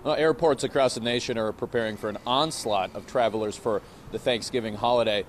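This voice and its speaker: A man's voice: medium pitch, a little fast. An American man reporting live for TV news from an airport. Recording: clear outdoor traffic-like background noise.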